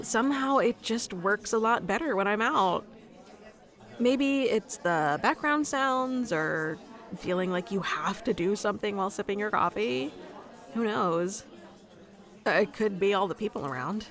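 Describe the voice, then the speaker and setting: A woman's voice: mid-range voice, expressive, a little slow, a bit bright, a faint crowd. Woman acting as a shy, rambling nerdy young woman. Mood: chatty, giggly. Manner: hesitant, self-conscious, giggly rambling. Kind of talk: ASMR roleplay, conversational monologue to the listener.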